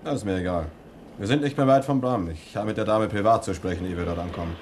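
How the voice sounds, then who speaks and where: Curt, threatening heavy played by a man, low voice, vintage radio thriller, faint recording noise. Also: fast talker, close to an everyday voice. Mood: cold threat. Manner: curt, commanding. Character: menacing knife-wielding male thug, curt and impatient. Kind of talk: 1960s German radio crime drama acting. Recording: faintly noisy old 1960s radio recording.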